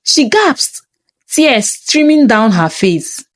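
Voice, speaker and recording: Slow, high-voiced woman narrating a dramatic story. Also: big pitch swings, dry recording, studio quality. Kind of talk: scripted first-person story narration.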